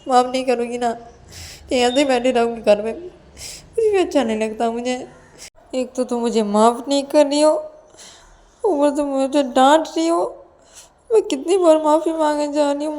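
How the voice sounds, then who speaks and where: A woman's voice: high-pitched, dark tone, pitched above the performer's own voice, close to an everyday voice. A woman voicing a tearful young woman who pleads and apologises in a whiny, repetitive way in an audio story.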